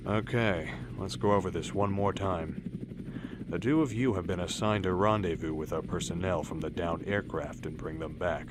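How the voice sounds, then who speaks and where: A man playing a stern older military commander in a radio drama, speaking in a measured, authoritative way. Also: deep voice, background noise, natural voice. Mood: firm.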